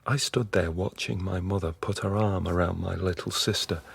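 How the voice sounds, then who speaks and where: An actor playing a weary, haunted middle-aged dissident in an intimate, brooding radio drama style. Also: male voice, sits low, steady tone, creaky, husky, no echo, heavily acted. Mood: guilty, grieving. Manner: slow, halting, brooding. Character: weary, haunted male dissident confiding memories and fears.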